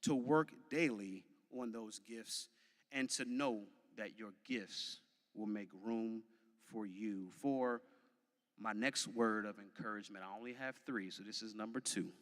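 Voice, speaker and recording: A man in his early thirties with an American accent gives a motivational commencement speech, emphatic and deliberate, with repetition and dramatic pauses like a preacher. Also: on the low side. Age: early 30s. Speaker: graduation commencement speaker. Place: large venue, graduation ceremony. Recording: long hall reverb from a PA.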